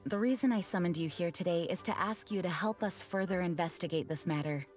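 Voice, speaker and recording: A woman playing a dutiful, diplomatic knight commander in a fantasy game, speaking formally and earnestly in measured phrases. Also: on the low side, darkish tone, lightly acted. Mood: composed, earnest. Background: game music.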